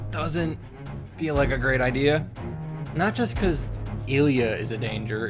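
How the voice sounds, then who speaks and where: A man giving a casual recap and reaction commentary, with exasperated asides, as host of a visual novel playthrough. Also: medium pitch. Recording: music behind the voice. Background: game music.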